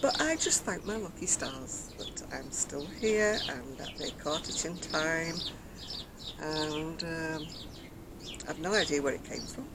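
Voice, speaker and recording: An older woman with a very soft, quiet voice chats to camera as a craft vlogger, rambling and self-mocking, recorded outdoors on a decking. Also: low voice, animated, slow, very noisy. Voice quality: very soft, quiet voice after throat surgery. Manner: chatty, rambling, self-mocking, laughs softly. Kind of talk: casual chat to camera. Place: outdoors on a decking by a brick wall. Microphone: camera in front at close range.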